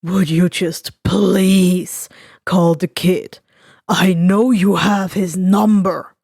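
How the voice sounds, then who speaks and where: A woman's voice: on the low side, fairly even intonation, drawn-out words, creaky, a little mellow, no room sound, pitched below the performer's own voice, full character voice. A woman voicing a tired, blunt, exasperated male villain in a story reading. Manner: dry, blunt, irritated.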